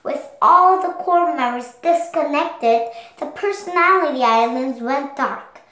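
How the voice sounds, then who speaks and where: Child narrator, girl of seven, high voice, slow careful storybook reading, roomy sound. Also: animated, fairly bright tone. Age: child, 7 years old. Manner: careful, halting reading aloud. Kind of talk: read-along storybook narration.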